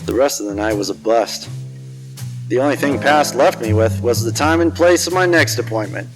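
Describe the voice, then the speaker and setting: A man's voice: mid-range voice, moderate pace, natural voice, low-quality recording. Man playing a wisecracking noir private eye over music. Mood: hard-boiled narrating. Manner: smooth-talking, wry, swaggering. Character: cocky, wisecracking 1940s hard-boiled male private detective. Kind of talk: noir radio drama narration and dialogue.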